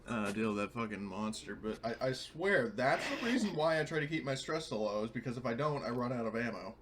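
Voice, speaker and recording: A man's voice: mid-range voice, lively intonation, a little fast, noisy background. Casual, sweary male tabletop gamer. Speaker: tabletop game player. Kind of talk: casual chat with profanity.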